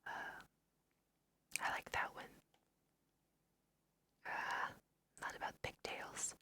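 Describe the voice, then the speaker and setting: A woman's voice: extremely slow, pushed and bright. A woman whispering a casual, musing ASMR let's play, talking to her viewers as she goes. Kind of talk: whispered ASMR game commentary.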